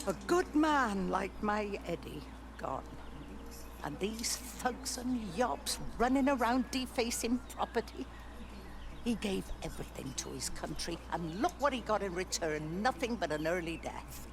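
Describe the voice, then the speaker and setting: An actress playing an elderly, sharp-tongued, mournful English village widow in a naturalistic video game drama. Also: female voice, medium pitch, sing-song, unhurried, vocal fry, background noise. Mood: bitter, grieving. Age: older.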